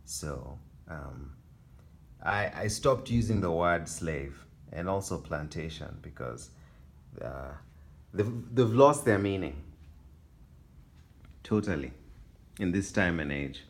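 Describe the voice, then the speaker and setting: A man in his thirties from Kenya with a Kenyan accent, presenting his photography exhibition in a soft-spoken, halting way with fillers. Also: low-pitched, lively intonation, creaky. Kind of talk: gallery talk.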